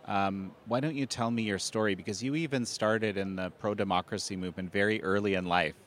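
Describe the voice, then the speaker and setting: A man hosting an interview, asking questions in a halting way with hesitant restarts. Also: fairly low pitch, steady tone. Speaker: interview host. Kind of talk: interview hosting and scripted intro.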